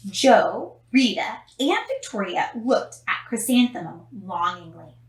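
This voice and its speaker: A middle-aged woman reading a children's story aloud with theatrical, deliberate expression and emphatic words. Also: a little high, very animated. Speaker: storybook reader. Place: small indoor room. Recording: roomy home camera recording.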